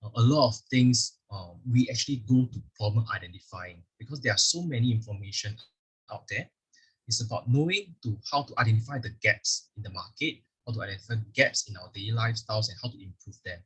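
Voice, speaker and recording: Husky Singaporean man explaining curriculum. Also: fairly low pitch, quick pace, fairly bright tone, low-quality recording. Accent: Singaporean English. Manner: explanatory, leans on "actually" and fillers. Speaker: school curriculum presenter. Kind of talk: virtual curriculum presentation with slides. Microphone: video call microphone.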